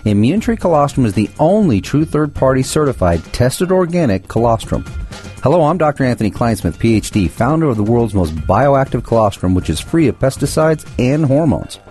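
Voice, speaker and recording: A man's voice: medium pitch, very animated, fairly quick, darkish tone, soft background music. A man who founded a company, reading his own scripted radio advertisement in an earnest, persuasive tone.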